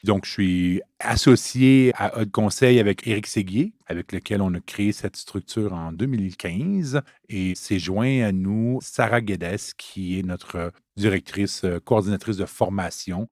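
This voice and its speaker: A man in his fifties speaks with a Canadian French accent, his voice sitting a little low. He answers interview questions in a relaxed, storytelling way, with the odd "euh" along the way. The sound is dry and close. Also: unhurried, no room sound. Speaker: Scrum trainer.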